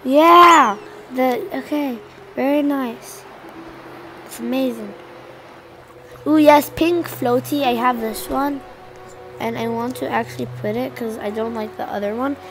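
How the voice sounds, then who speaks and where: A woman's voice: sits high, a little slow, pauses often, background noise. A young teenage girl with a slight cold, chatting to viewers while playing a video game. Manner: chatty, rambling, addresses viewers directly. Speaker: gaming channel co-host. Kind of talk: casual gameplay commentary. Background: game music.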